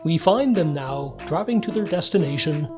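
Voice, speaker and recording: A man's voice: a little high, animated, normal speed, lightly acted. An actor playing a mock-grand narrator of a Christmas radio play, a man speaking with pompous, tongue-in-cheek irony. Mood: mock-solemn. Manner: pompous, ironic. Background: music.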